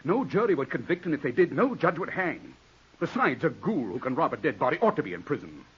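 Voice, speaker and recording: An actor playing a middle-aged, domineering English lawyer scolding and lecturing in a 1940s radio drama. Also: male voice, fairly high pitch, very animated, fairly quick, slightly rough, heavily acted. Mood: angry, outraged. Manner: forceful, scornful, lecturing. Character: domineering English lawyer, ambitious, exasperated older brother. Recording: dull 1940s radio broadcast recording.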